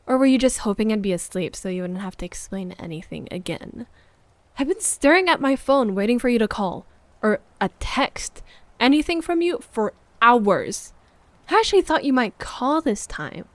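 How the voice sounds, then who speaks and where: A woman playing a hurt, needy, accusing girlfriend in an intimate audio roleplay, moving between sharp reproach and hushed murmurs. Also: fairly high pitch, expressive, moderate pace, no room sound, natural voice. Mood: bitter, hurt. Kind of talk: intimate audio roleplay monologue, dramatic acting.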